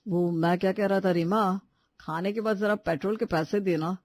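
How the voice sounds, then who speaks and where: A grumbling son and husband in a family tale , played by a man. His voice is unusually high for a man, and he complains. The recording is dry. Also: fairly even intonation, moderate pace, no echo, natural voice. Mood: casual. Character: grumbling adult son and husband in household story.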